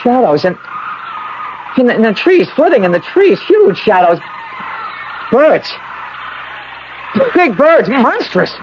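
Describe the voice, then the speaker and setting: Radio mystery victim: querulous, anxious male, stammering, widely varied pitch; muffled, noisy old broadcast. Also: very animated, slow, long pauses, exaggerated voice. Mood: terrified. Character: anxious, complaining man in agony, trapped in eerie garden. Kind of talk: radio mystery drama acting.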